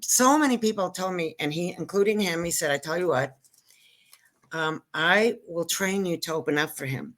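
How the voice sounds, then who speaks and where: An older woman from Israel, a Hebrew native speaker, speaks as a guest comic on a casual show. Her voice sits low but comes across bright and crisp. She rambles, cuts herself off, and jokes her way through the story. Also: normal speed. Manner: rambling, self-interrupting, joking storyteller. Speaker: guest comedian. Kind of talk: casual interview chat.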